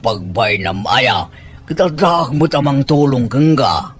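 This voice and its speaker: A man acts out a sly old Filipino village uncle in a radio-style drama. He is coaxing, full of exclamations and lecherous in temper, at a middling pitch with music playing behind him. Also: expressive, slow, slightly rough. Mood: egging on. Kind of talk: Ilocano audio drama acting. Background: background music.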